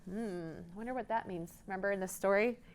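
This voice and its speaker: A woman reading a children's story aloud as a library storyteller, expressive, pausing to ask and explain. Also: mid-range voice.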